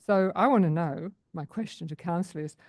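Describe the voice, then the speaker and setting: A woman's voice: lowish voice, darkish tone, no echo, clean studio sound. A woman, president of a community group, speaking earnestly at a council meeting's public question time. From Australia. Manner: earnest, unhurried, with many fillers.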